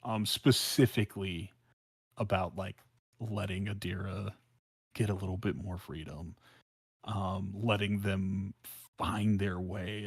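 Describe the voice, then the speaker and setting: A man's voice: on the low side, breathy edge, no room sound, studio quality. An American man chatting casually on a podcast, hesitant and repeating phrases as he searches for his words. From South Central Los Angeles, United States. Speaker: podcast co-host.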